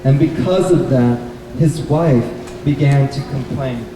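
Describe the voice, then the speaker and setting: A man's voice: mid-range voice, takes it slowly. A man telling a story live to children at a puppet show, in short, simple explanatory phrases. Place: public event in a bookstore, mic at a distance. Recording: echoey, distant, noisy live event recording.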